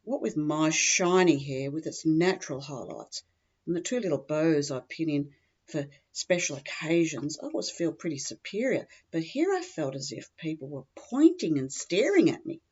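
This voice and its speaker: Low-voiced Australian woman reading humorous prose. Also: animated, moderate pace, darkish tone, slightly rough, highs cut off. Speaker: humorous writer reading their own story. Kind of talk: scripted comic first-person narration.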